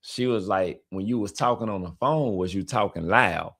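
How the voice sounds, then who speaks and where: Loud heavy-voiced man. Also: lowish voice, moderate pace, creaky. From United States. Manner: emphatic, repetitive, preacher-like urging. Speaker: travel vlogger telling a story. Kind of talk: casual live-stream storytelling. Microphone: streaming mic.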